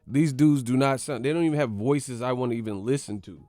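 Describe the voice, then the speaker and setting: A man's voice: medium pitch, fairly quick, dark tone, dry recording, faint music behind. A man hosting a boxing channel who rants in a slangy, profane way, laughing and mocking as he talks. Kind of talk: profane comedic vlog rant.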